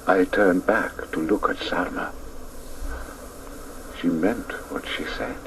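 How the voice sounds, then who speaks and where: An actor narrating a radio play as a man recalling his boyhood, in a hushed, grave, reflective way. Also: deep voice, slow, slight background noise, low-quality recording. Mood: tense, hushed.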